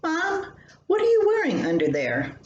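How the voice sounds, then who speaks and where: Older lady doing a pushy frustrated little girl from a family story, voice jumps up high, echoey room. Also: huge pitch swings, normal speed, muffled recording, exaggerated voice. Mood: puzzled, insistent. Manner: demanding, exasperated questioning. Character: insistent, frustrated seven-year-old girl questioning her mother. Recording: roomy home recording with faint noise.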